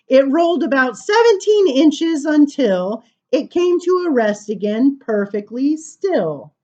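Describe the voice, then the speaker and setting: A woman reading poems aloud to children as a storytime librarian, with animated, sing-song emphasis and casual asides. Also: sits high, dull audio.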